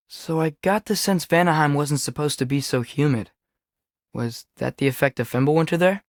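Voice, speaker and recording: A teenage boy adventurer voiced by a man from a Norse fantasy game, with a fairly high voice. He sounds curious and earnest, a little hesitant, speaking naturally. The recording is very dry, with no room sound. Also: dry recording, lightly acted. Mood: thoughtful. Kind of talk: naturalistic video game voice acting.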